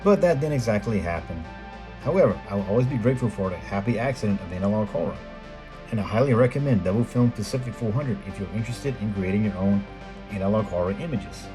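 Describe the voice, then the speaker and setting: A middle-aged American man reading a scripted voice-over in a measured, plain explanatory way, as the host of a photography video. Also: fairly low pitch, expressive, rough recording. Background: background music.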